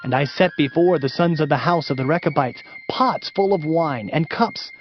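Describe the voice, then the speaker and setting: A man's voice: a little high, moderate pace, close to an everyday voice. A man playing a stern, fervent Old Testament prophet in a declamatory, emphatic scripture reading. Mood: solemn recounting. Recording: dull audio with music behind.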